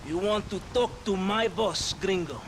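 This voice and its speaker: A man's voice: high voice, unhurried, slight background noise. A man playing a theatrical Mexican army captain in a video game, menacing yet jovial, with a Mexican accent. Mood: confrontational. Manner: theatrical, swinging from mock threat to lament. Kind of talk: video game character acting.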